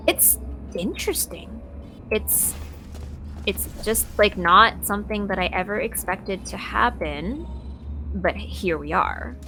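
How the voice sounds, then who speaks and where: A woman in a casual interview chat while playing a video game, thoughtful and halting with playful asides. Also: medium pitch, bright, forceful tone. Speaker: interview guest, voice actor. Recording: music playing behind the voice.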